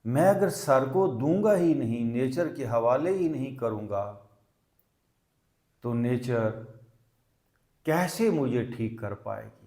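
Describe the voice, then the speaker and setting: An echoey recording of a man guiding a meditation. He speaks very slowly in short, deliberate phrases and leaves long pauses between them. His voice sits at a medium male pitch. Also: expressive, warm tone. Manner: mixes English words into Hindi. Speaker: yoga and meditation teacher. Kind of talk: guided meditation instruction. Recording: echoey, reverberant room sound.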